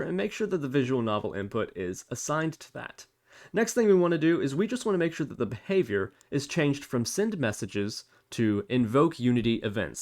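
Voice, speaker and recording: A man with a mid-pitched voice narrates a screencast tutorial. He talks informally and explains each step as he goes, in a casual presenter's delivery.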